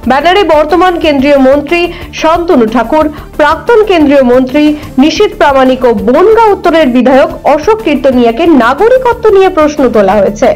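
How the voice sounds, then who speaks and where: A woman's voice: high-pitched, darkish tone. A woman reading a scripted news report as a presenter.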